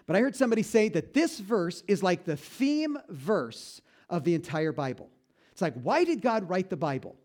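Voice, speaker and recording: A man preaching a conversational sermon, joking at his own expense and slowing down to stress key points. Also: sing-song, darkish tone. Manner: folksy, self-deprecating humor with frequent restarts; slows down and drops low for emphasis on key points. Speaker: pastor.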